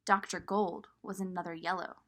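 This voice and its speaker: A woman reading a picture book aloud to young children, expressive and pausing to point things out. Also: fairly low pitch. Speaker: children's storybook reader.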